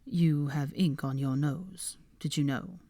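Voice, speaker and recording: A woman voicing a cool, concerned young man in an audiobook, quiet and restrained, with a drawl. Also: low-pitched, slow, no echo, studio quality, voice pushed down. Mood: gently probing.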